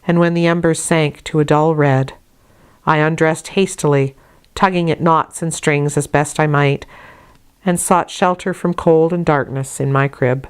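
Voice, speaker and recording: A woman reading a classic novel aloud as an audiobook, in a measured, deliberate storytelling manner. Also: low voice, fairly even intonation, clear, resonant tone. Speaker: audiobook reader. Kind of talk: scripted narration of a classic novel, read aloud.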